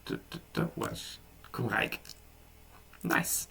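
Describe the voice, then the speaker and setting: A man whose first language is German, talking casually through a software tutorial, relaxed, with frequent ums and restarts. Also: extremely low pitch, slow, creaky, distant mic. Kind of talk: casual screen-recorded tutorial.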